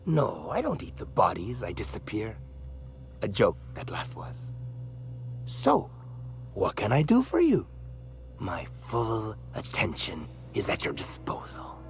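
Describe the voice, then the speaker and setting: An actor playing a pompous, menacing meat tycoon and killer in a video game, florid and mock-polite, dropping into breathy whispers. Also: male voice, on the low side, huge pitch swings, slow, slight background noise, full character voice. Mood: sly, menacing. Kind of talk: video game character acting.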